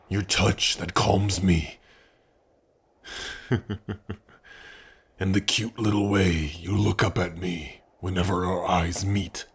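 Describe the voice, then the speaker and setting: A man voicing a big, gentle orc who is a devoted lover, speaking tenderly and earnestly in an intimate ASMR romance roleplay, with a husky voice. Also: sits low, heavily acted. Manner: tender, earnest, slow and breathy with sighs.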